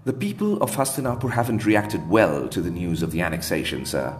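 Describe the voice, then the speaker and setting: A man's voice: low-pitched, expressive, brisk. A man reading aloud voices an anxious, deferential British equerry who speaks politely and hesitantly, with faint guitar music behind. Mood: worried. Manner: polite, hesitant, keeps saying sir.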